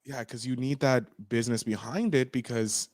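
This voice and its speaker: A man's voice: medium pitch, moderate pace, no room sound, studio quality. A man hosting a podcast interview, talking casually and rambling with lots of fillers. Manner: animated, rambling, frequent "like" fillers. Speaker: podcast host.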